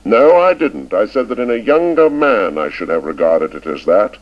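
A man's voice: lowish voice, sing-song, normal speed, pitched above the performer's own voice. A man voicing a cold, stern middle-aged headmaster who speaks in a clipped, formal way. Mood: icy. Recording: dull lo-fi recording.

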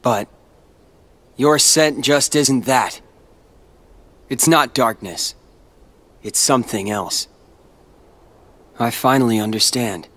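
A man's voice: medium pitch, slow pace, pauses often, fairly bright tone. An actor playing a brooding, earnest teenage boy hero in a video game, hesitant and intense in delivery. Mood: resolute. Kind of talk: dramatic video game cutscene acting. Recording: faint music behind the voice.

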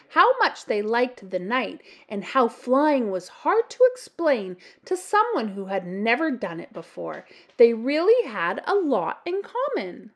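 A woman hosts a children's story time, reading a picture book aloud. Her voice is fairly high and animated, and she takes her time, speaking expressively to young listeners. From Canada.

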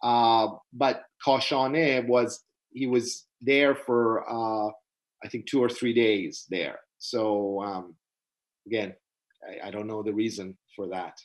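A middle-aged man whose first language is Persian, giving an unscripted webinar lecture in a halting, earnest way with many restarts, recorded on a video call from a small room. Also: on the low side, fairly even intonation, rough recording. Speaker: webinar presenter. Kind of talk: unscripted lecture answering questions. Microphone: webcam on a video call.

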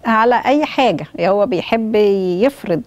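A middle-aged woman with an Egyptian accent, giving astrology advice in a talk-show studio, speaking slowly with pauses. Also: mid-range voice, animated. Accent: Egyptian Arabic. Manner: measured, explains slowly with pauses and fillers. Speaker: astrologer guest. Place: bright television studio.

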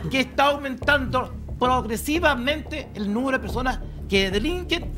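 Older chilean guy arguing on a tv panel, pretty high voice, really insistent, music going behind him. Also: moderate pace. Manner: emphatic, insistent, repeats key phrases. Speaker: debate panelist. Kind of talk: heated TV panel debate. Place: TV studio panel desk. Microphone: lapel mic. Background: music under the talk.

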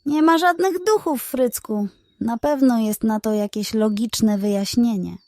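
A woman voicing a sceptical, unflappable male pig detective in a children's bedtime story, reasoning calmly. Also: on the high side, unhurried, dry recording. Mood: dismissive. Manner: dismissive, calmly reasoning, muttering.

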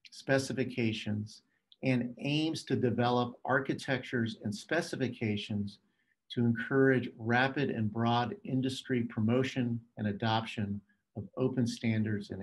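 Middle-aged male executive, mid-pitched voice, formal and measured keynote read delivered remotely to a webcam. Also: low-quality recording. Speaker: telecom executive giving a keynote. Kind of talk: scripted keynote presentation.

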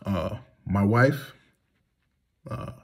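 Deep husky man venting to phone. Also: very slow. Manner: heated, blunt, rhetorical questions. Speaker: vlogger. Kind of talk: candid monologue to phone camera. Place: small room indoors, reclining. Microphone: phone at arm's length.

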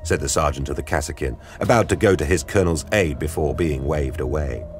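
A man narrating an audio drama in a grave, measured storytelling style. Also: very deep voice. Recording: studio narration over music. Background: dramatic music.

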